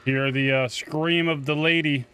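Higher-voiced man doing casual game commentary. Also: steady tone, unhurried, a bit bright, smooth. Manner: profane, slangy, talks to game characters. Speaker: gaming YouTuber. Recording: game music behind the voice. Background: video game music.

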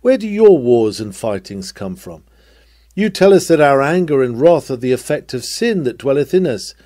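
A man reading an old-fashioned sermon aloud in an emphatic, declamatory manner with deliberate phrasing. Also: medium pitch, mellow, no echo, clean studio sound. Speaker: sermon reader. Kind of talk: dramatic read-aloud of a 19th-century sermon.

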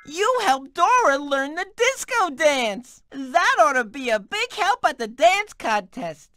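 Bright, slow, lively helpful guide voice, dry sound. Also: female voice, sits high, dry recording, soft background music, full character voice. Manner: clear, helpful, prompting. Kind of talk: children's video game voice acting.

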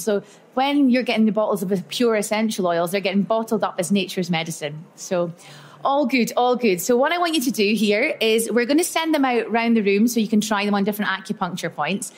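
A woman giving a chatty, upbeat workshop talk to a live audience at an event. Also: mid-range voice. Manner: chatty and upbeat, storytelling with lots of "so" and "yeah". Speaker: speaker at a live expo talk.

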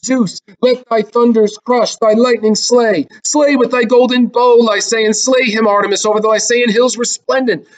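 A man's voice: extremely high pitch, steady tone, moderate pace, hardly any pauses, pitched above the performer's own voice, poor audio. A man voicing a fearful, pleading chorus of elders in a Greek tragedy, declaiming in fervent incantation.

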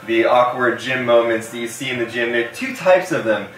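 A young American man co-hosting a casual vlog, chatting to camera in a halting, joking, colloquial way. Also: medium pitch, a bit bright. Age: young adult. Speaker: co-host. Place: small indoor room with hard table. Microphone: camera a few feet away. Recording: echoey room, distant camera mic.

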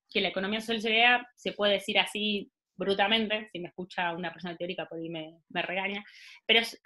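A woman's voice: medium pitch, bright, forceful tone. A woman in her thirties with an Argentine accent, leading an online workshop over a video call, thinking aloud with many fillers and restarts. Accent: Argentine Spanish. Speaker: workshop facilitator. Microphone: laptop mic on video call.

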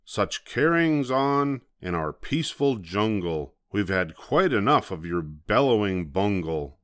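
A man's voice: lowish voice, sing-song, unhurried, a bit bright, no echo, studio quality. A man voicing a big, stern, bossy female kangaroo in a children's storybook, with a scornful, snappish manner. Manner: snappish, haughty.

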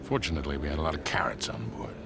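An actor playing a sly, charming older fortune hunter in a video game, with a dry, droll manner. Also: male voice, a bass voice, very fast, noisy background. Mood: amused, guarded. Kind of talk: naturalistic video game acting. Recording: loud music behind the voice.

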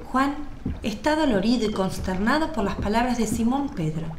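A woman's voice: a little high, expressive, normal speed. A woman narrating a radio drama from a script. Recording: roomy, faint background noise.

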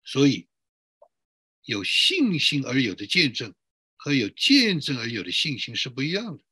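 A male pastor giving a sermon in an emphatic way, building up repeated lists and rhetorical questions, with a husky voice. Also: mid-range voice, wildly swooping pitch, normal speed, pushed and bright, no echo, clean studio sound. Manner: emphatic, repetitive listing.

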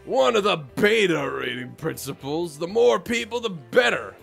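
A man plays a world-weary woman running a manga team in a comedic visual novel. The delivery is theatrical and crude, with a bright, forceful edge and fry, swinging widely in pitch. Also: animated, moderate pace, faint music behind, full character voice. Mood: exasperated. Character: gruff, foul-mouthed, jaded female manga team leader.

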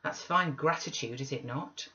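A woman's voice: very deep voice, normal speed, sharp tone, full character voice. A woman voicing an emphatic, scolding elderly female servant from a classic novel. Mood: reproachful, pleading. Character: elderly female servant, stern, pleading, indignant storyteller. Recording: slightly dull webcam audio.

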